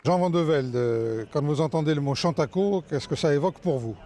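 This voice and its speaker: A man's voice: on the high side, a little fast, warm tone. A man interviewer asking direct questions and setting up imagined scenes for his guests.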